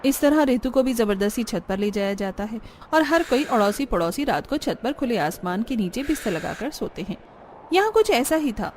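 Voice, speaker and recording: Fairly high-voiced woman narrating a story. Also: darkish tone, slight background noise. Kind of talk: scripted cartoon story narration.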